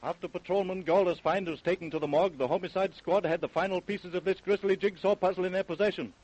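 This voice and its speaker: Casting: male actor as solemn American radio narrator, somewhat high voice, urgent crime-report delivery. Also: steady tone, fast, a little mellow, a little hiss or hum, lightly acted, rough recording. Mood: dramatic. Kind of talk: old-time radio crime drama narration.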